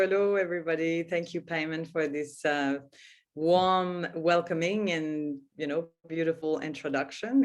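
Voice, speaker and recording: A woman's voice: fairly low pitch, darkish tone. Middle-aged woman with a Quebec French accent. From Quebec, Canada. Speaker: podcast guest, mentor. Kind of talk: conversational interview answers.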